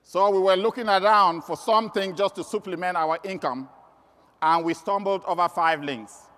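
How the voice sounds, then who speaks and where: A man with a Cameroonian accent and a high-pitched voice delivers a motivational training speech. He is emphatic and repeats key words for stress. The sound carries the long reverb of a large hall. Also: moderate pace, a bit bright. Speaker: sales trainer and motivational speaker. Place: large indoor event hall.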